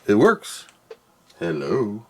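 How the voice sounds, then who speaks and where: A man's voice: fairly low pitch, huge pitch swings, extremely slow. A man doing casual hands-on product commentary, muttering to himself as he works and reading out screens. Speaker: product reviewer doing an unboxing and test.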